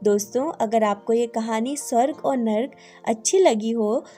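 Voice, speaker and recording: High-pitched woman telling a story. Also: a little slow, dark tone, soft background music. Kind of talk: scripted story narration.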